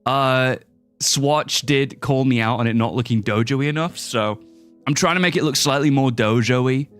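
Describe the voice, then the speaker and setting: A man's voice: medium pitch, normal speed, a bit bright, faint music behind. A playful young man livestreaming a game, greeting chat with a goofy manner and a slightly stuffy nose. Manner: goofy, playful, silly mispronunciations and shifting voices. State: slightly sniffly, stuffy-nosed. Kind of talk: casual stream chat, greeting chat and playing a game.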